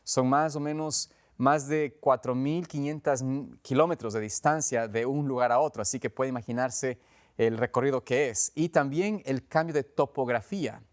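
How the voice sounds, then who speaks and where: A man's voice: medium pitch, moderate pace. Ecuadorian guy preaching, pastor type, earnest and explains stuff conversationally, rewords things a lot. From Quito, Ecuador. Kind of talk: conversational sermon.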